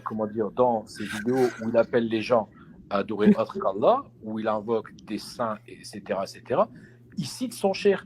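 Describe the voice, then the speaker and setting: A man in a played video clip, loudly denouncing someone as a preacher. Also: medium pitch, slight background noise, low-quality recording.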